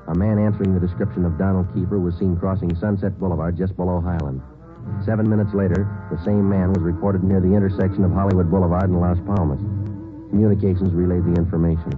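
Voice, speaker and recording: A man voicing a terse police sergeant, deep and low, narrating in clipped, matter-of-fact sentences at a brisk clip. The sound is tinny, like an old radio broadcast with music under it. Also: natural voice. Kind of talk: 1950s radio drama narration.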